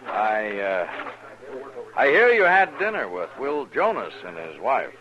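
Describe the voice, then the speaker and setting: An actor playing a brisk, dismissive army major in a 1950s radio western. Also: male voice, mid-range voice, huge pitch swings. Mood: stern, official. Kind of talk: 1950s radio drama acting. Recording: muffled old radio recording, crowd noise.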